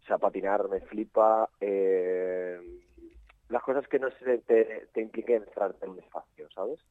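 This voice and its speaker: A man's voice: fairly low pitch, steady tone, a little slow, dry recording. A young man from Spain, a photographer guest, chatting casually with many fillers and restarts. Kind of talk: casual interview chat. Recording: tinny phone-like line.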